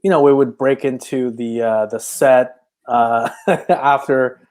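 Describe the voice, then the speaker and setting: Reflective man from China, halting speech. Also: mid-range voice, steady tone, a little mellow. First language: Chinese. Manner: reflective, hesitant, many restarts. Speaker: podcast guest, filmmaker. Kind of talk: casual interview storytelling.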